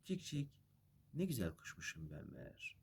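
A man's voice: on the low side, wildly swooping pitch, moderate pace, vocal fry, breathy edge, exaggerated voice. A man voicing a proud magical bird from a fairy tale, sing-song in verse and firm in bargaining. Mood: sing-song, plaintive.

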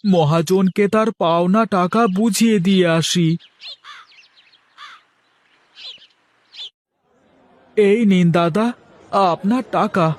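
A humble village vegetable seller, played by a man, talks in a fairly high male voice. He is worried, deferential and plain-spoken, in the manner of cartoon dialogue. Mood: downcast.

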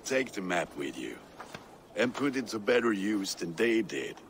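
Over clear background noise comes a burly, middle-aged Viking blacksmith in a video game. He is jovial and hearty, and his slow speech swings widely up and down. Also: lowish voice, very animated. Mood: gruff good humor. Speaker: blacksmith character. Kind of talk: video game cutscene acting.

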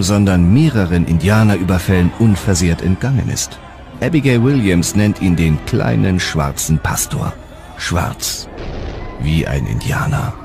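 Deep-voiced male documentary narrator over music. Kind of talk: scripted dramatic narration.

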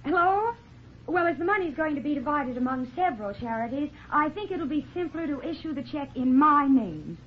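An actress playing a poised, direct, practical young society fiancée in a 1940s radio drama. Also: female voice, high-pitched, big pitch swings, a little fast, slight background noise. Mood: businesslike. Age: young adult. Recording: tinny 1940s radio recording.

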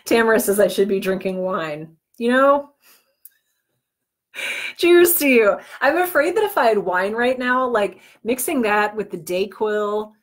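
A woman's voice: mid-range voice, animated, a little slow. A woman hosting an informal livestream tutorial, chatty and given to self-deprecating asides while a bit under the weather. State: under the weather with cold or flu, on medication. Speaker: livestream host teaching podcast business planning.

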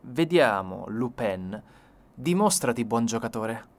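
A man's voice: mid-range voice, animated, slow pace. Audiobook role: old policeman, commanding, imperious, triumphant, done by a male reader. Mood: smug, commanding.

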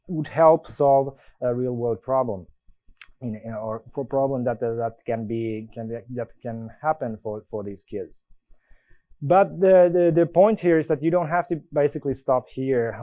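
A man with a Finnish accent gives a live coding demo at a conference. His voice is mellow and dark, and the sound is dry and close. He stops and restarts often and fills gaps with "uh". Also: medium pitch, no room sound. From Finland. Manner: halting, many restarts and fillers. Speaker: conference presenter. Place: conference room.

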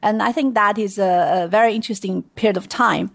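A woman's voice: mid-range voice, fairly quick, gravelly, no room sound. Middle-aged woman with a Chinese accent, chatty interview guest, dead-dry mic. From China. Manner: chatty storytelling with light laughs. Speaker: guest, venture investor. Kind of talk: casual interview.

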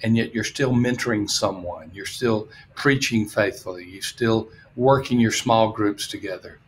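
A man's voice: lowish voice, normal speed, slight background noise, rough recording. A man in his sixties co-hosting a podcast, teaching in a conversational way. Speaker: podcast co-host. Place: small room, remote video call.

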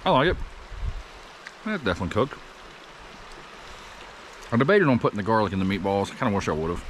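A man in his thirties talking to the camera while cooking outdoors at a wooded campsite, rambling and easygoing as he thinks out loud. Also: lowish voice, big pitch swings, brisk, slight background noise. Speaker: camping cook vlogger.

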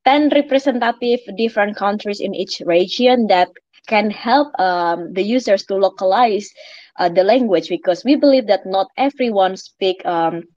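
A woman's voice: medium pitch, normal speed, a little mellow, no echo. A woman with an Indonesian accent speaks English as a second language, answering community questions. Her delivery is hesitant and full of fillers. The audio is dry and dull, like an online call. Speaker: project representative answering questions. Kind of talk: live audio Q&A.

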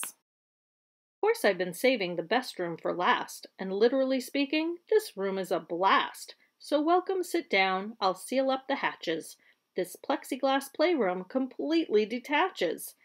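A woman reading a children's picture book aloud like a teacher, with expressive storybook delivery. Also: mid-range voice.

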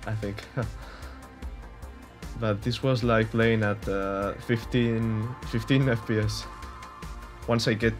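Young spanish guy talking over his space game, lowish voice, lots of ums, faint music behind. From Spain. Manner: hesitant, many fillers and restarts, unsure hedging. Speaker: gaming YouTuber. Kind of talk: casual gameplay commentary.

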